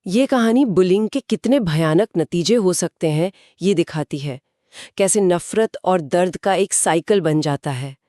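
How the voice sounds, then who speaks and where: A woman co-hosting a horror story show, giving conversational commentary with expressive questions and reflective remarks. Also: on the low side, measured pace, no echo, clean studio sound. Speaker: co-host reacting to stories.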